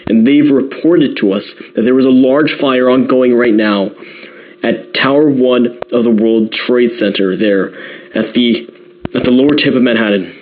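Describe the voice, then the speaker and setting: A man playing a grave New York radio newsman in an audio drama, delivering breaking news in a measured, careful way. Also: medium pitch, close to an everyday voice. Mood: urgent interruption. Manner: measured, careful, repeating key details. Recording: slightly dull radio broadcast sound.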